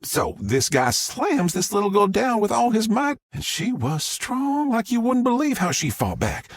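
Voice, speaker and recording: A man voicing an excitable middle-aged Southern mother who rambles through her story in a drawl. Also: mid-range voice, moderate pace, vocal fry, slightly rough, no echo, clean studio sound, pitched above the performer's own voice, exaggerated voice. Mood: excited. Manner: rambling, breathless storytelling with restarts.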